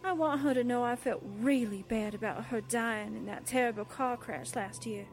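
Woman as a high-voiced, brash girl reporter. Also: normal speed, a little hiss or hum, close to an everyday voice. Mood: earnest rambling. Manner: slangy, naive, chatty. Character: brash, wisecracking young newspaperwoman working undercover. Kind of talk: 1940s radio drama acting.

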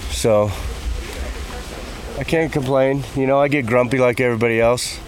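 A man, a race pacer who has just run a hundred miles, giving a casual interview outdoors; he speaks in a laid-back, halting way with many fillers. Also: mid-range voice, steady tone, a little hiss or hum. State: weary after running a hundred miles. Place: outdoors at an ultramarathon aid station. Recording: outdoor recording with a crowd behind.

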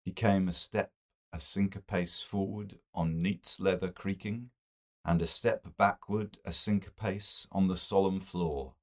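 A man reads literary fiction aloud in a fairly low voice. His pace is very slow and deliberate, and the narration is expressive and measured. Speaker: reader of a literary novel.

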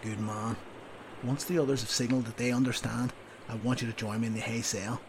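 Male narrator doing a gravelly, sarcastic storybook sergeant, loud and lecturing. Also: medium pitch, steady tone, noisy background, close to an everyday voice. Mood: gruff orders. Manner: loud, sardonic, drawn-out scolding. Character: gruff blustering male army sergeant, sarcastic. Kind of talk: audiobook character voice.

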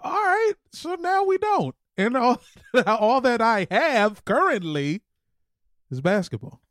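A man's voice: extremely high pitch, sing-song, slow pace, a bit bright, dry recording, clean studio sound. A man co-hosting a podcast, bantering casually. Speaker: podcast co-host.

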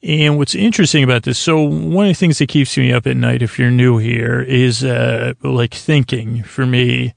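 Creaky-voiced man rambling softly through a bedtime podcast. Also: mid-range voice, no echo, studio quality. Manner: meandering, halting, circles back on himself. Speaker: podcast host. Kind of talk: rambling bedtime-story podcast intro monologue.

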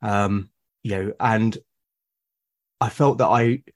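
A British man as a podcast guest, talking in a thoughtful, measured way with small restarts. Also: fairly low pitch, steady tone. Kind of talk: casual podcast chat.

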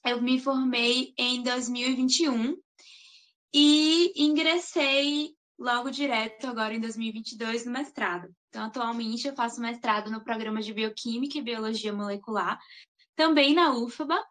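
A woman. Her voice sits high, and she talks in a loose, chatty way, often ending her sentences with tag questions. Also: moderate pace, a bit bright. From Salvador, Bahia, Brazil. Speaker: guest, master's student. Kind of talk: interview answers.

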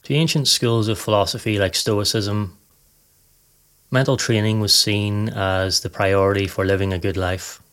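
A man narrating a scripted guided meditation in a calm, measured, soothing way. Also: lowish voice.

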